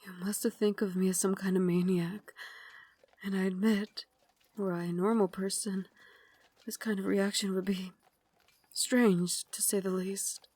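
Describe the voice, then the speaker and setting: A woman playing a refined, tender but tormented Victorian vampire lady in an ASMR roleplay, speaking in a formal, genteel way with breathy asides. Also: medium pitch, little pitch movement, measured pace, long pauses, mellow, no echo, natural voice. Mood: remorseful, soothing. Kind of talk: ASMR roleplay, dramatic monologue.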